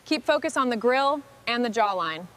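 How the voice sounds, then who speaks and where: A woman's voice: a little high, animated, normal speed, fairly bright tone, slight background noise. A woman giving short, scripted work instructions as part of a restoration crew. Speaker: workshop crew member directing truck restoration.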